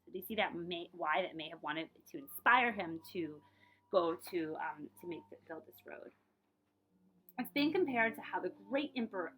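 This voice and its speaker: A woman librarian reading aloud for children in an expressive storytelling way, speaking directly to her young listeners. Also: mid-range voice, rough recording.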